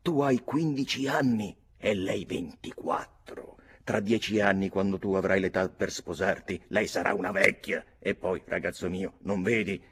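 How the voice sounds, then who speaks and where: A man's voice: deep voice, moderate pace, fairly bright tone, a little hiss or hum. A man reading an audiobook, voicing a worldly, persuasive older army captain giving advice. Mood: coaxing. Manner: coaxing, advising.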